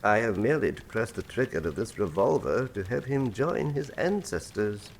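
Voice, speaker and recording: An actor playing an urbane, ruthless professor of crime in a radio drama, pompous and mock-courteous. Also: male voice, lowish voice, big pitch swings, normal speed, slight background noise. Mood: silky menace. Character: urbane, ruthless criminal mastermind, male professor.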